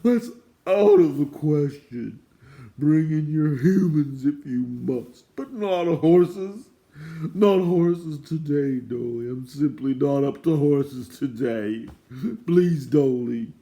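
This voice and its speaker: Mournful nervous fairy gatekeeper, shrill and draggy, put on by a male narrator. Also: expressive, takes it slowly, mellow. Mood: whining distress. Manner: whining, pleading, sobbing. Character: mournful thin fairy doorkeeper, anxious, weepy.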